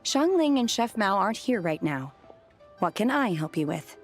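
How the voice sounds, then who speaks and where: A woman voicing a calm, reserved young restaurant worker in a video game, polite, composed and literal-minded. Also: medium pitch, soft background music, close to an everyday voice. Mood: polite, matter-of-fact. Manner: composed, polite, measured, matter-of-fact. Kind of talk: English video game voice acting.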